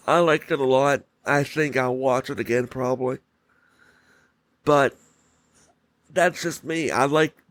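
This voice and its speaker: A man hosting a solo podcast, giving casual opinion commentary in short, halting phrases that he often repeats. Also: mid-range voice. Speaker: podcast host.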